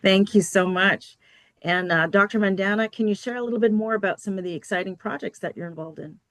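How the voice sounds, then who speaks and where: A woman's voice: mid-range voice, brisk, no echo. A woman hosting a virtual panel, introducing and thanking guests in a courteous, formal way. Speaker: host and moderator. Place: virtual summit video call.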